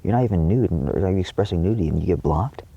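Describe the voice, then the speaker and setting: Casting: male video creator with a dark, very raspy, gravelly voice, delivering indignant, emphatic rants straight to viewers. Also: sits low, animated, fast, highs cut off. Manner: frequent swearing. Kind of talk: impassioned monologue to viewers.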